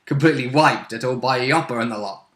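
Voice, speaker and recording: A man's voice: medium pitch, fast, fairly bright tone. A man chatting casually as a player in a tabletop roleplaying game, adding wry, dry remarks. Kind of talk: casual chat about the game. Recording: roomy, echoing indoor sound.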